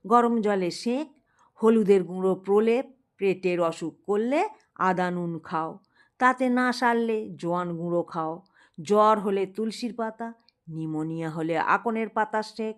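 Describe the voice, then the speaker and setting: A woman tells a humorous folk tale as a scripted narration. She reads slowly and deliberately at a medium pitch, giving the story an expressive, measured delivery.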